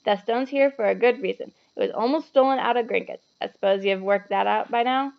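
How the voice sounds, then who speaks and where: A woman's voice: a little high, a little fast, vocal fry, muffled recording. A woman voicing a burly, friendly male gamekeeper in a children's storybook, with folksy, clipped informal speech. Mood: guarded.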